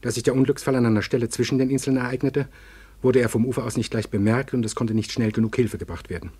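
An actor playing a sober family acquaintance with a husky voice in a German radio play. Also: male voice, mid-range voice, fairly even intonation, fast talker, darkish tone, natural voice. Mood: grave reading. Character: sober family acquaintance gravely reporting bad news.

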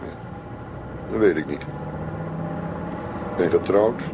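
A low-voiced, cautious middle-aged negotiator. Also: male voice, slow pace, muffled recording. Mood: dry, uneasy. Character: cautious middle-aged businessman, married grandfather, sent to negotiate. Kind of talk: radio drama acting. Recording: noisy old radio drama recording.

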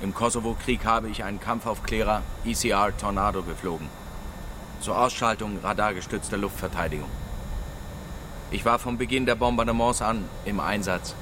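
An actor playing a captured German military pilot who answers in clipped, insistent, defensive lines. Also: male voice, on the low side, fairly even intonation, normal speed, background noise, natural voice. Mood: flat recounting. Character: captive German male air force pilot, stubborn and defiant. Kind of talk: radio drama acting.

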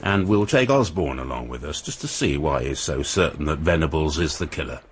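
An actor playing a shrewd, methodical British police inspector in a radio drama, speaking in a measured, formal way with a husky voice. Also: male voice, very deep voice, animated, full character voice. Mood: brisk, reasoning.

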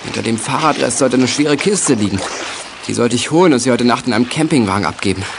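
An actor playing a naive, shaken scuba diver in a children's radio play. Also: male voice, mid-range voice, fast talker, vocal fry, background noise, lightly acted. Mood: defensive.

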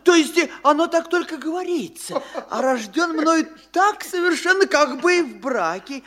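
A man's voice: extremely high pitch, animated, moderate pace, a bit bright. An actor playing a timid small-town landowner in a radio play, meek and hesitant, servile toward his guest. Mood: meek, fawning. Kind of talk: classic radio theatre acting.

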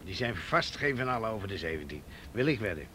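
Radio play colleague: placid, questioning man with a very varied pitch, clear noise and vehicle ambience behind. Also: big pitch swings, fast talker. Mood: easygoing. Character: mild, hesitant, good-natured male colleague of a detective. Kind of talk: naturalistic Dutch radio play acting.

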